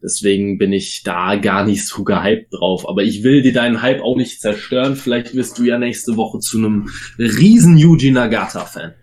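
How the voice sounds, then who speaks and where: A man's voice: lowish voice, moderate pace, hardly any pauses, darkish tone. A man co-hosting a casual wrestling podcast, talking in an opinionated way and weighing his points with frequent fillers. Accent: German. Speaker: podcast co-host.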